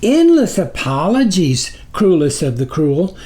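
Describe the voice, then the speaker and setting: A man's voice: high voice, big pitch swings, measured pace, mellow. A man voicing a grovelling demon underling in an audiobook story, a wordy, pedantic academic who speaks with obsequious formality. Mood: apologetic.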